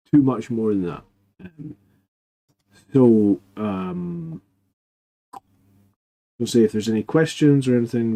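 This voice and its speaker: A man hosting a live stream in casual chat, quiet because he is losing his voice, rambling with fillers and restarts. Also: lowish voice, rough recording. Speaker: host of a live army list show.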